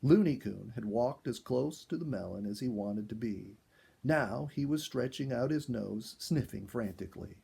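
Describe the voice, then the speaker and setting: A male storyteller with a husky, gravelly voice narrates an animal tale. His delivery is expressive and swings widely in pitch, as if acting out each turn of the story. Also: moderate pace. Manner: expressive, acts out dialogue and exclamations. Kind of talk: dramatic narrated animal story read aloud, first person.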